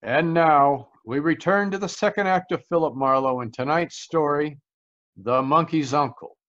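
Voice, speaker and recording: Man, fairly high voice, radio announcer, polished sales delivery, 1940s style, dull audio. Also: moderate pace, a bit bright.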